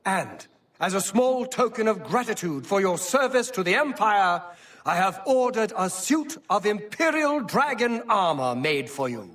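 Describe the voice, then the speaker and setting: An actor playing an earnest, formal imperial chancellor in a fantasy game, with declamatory and emphatic delivery. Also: male voice, sits high, very animated, unhurried, fairly bright tone, recorded from a distance, exaggerated voice. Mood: proud, ceremonial. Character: earnest imperial high chancellor, formal, stately, concerned statesman. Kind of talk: dramatic fantasy game acting.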